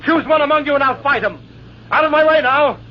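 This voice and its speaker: A man's voice: very high-pitched, a little fast, crowd noise. A man playing a nonchalant, defiant young officer, mocking and self-assured, in old-time radio drama style. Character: nonchalant, defiant lieutenant who scorns duels. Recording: dull, faintly noisy old radio transcription.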